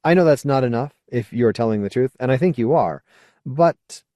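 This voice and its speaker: A man's voice: medium pitch, animated, normal speed, mellow, no room sound, studio quality, pitched above the performer's own voice, close to an everyday voice. A man voicing a wary, awkward, questioning male character in an audiobook. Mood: apologetic. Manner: hesitant, questioning. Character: wary, awkward man, skeptical former idealist.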